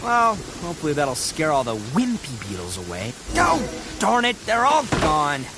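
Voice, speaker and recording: High-pitched, brash, swaggering young oni. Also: male voice, measured pace, very noisy, heavily acted. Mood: dismayed. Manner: cocky, excitable, slangy. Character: brash, boastful young male oni, goofy beetle-fighting fanatic. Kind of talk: animated video game voice acting. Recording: music under the voice.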